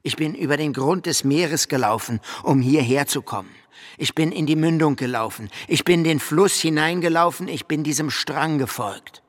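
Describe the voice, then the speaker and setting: An actor playing an insistent, desperate drowned wanderer who speaks in halting fragments in a radio play. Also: male voice, fairly high pitch, no room sound, studio quality, close to an everyday voice. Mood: insistent, pleading. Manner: halting, fragmented, rambling recitation, sometimes dropping to whisper. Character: insistent, desperate drowned wanderer demanding to tell his story. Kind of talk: German radio play acting.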